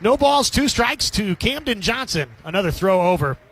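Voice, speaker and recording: A man's voice: high voice, big pitch swings, moderate pace, a bit bright. A man calling a baseball game as a radio play-by-play announcer, describing the action in short, clipped phrases. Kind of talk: live sports commentary.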